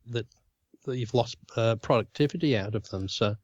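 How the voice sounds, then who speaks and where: A man's voice: mid-range voice, no echo, dull audio. Throaty man with a cold. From Australia. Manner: halting, restarts phrases often. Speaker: podcast co-host. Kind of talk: casual chat.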